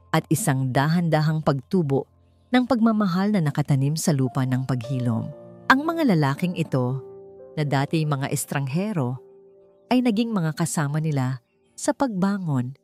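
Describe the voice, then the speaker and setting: A woman reads a scripted romantic story. Her voice is low and mellow, and she phrases deliberately with dramatic pauses. Soft music plays faintly behind her. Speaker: story narrator.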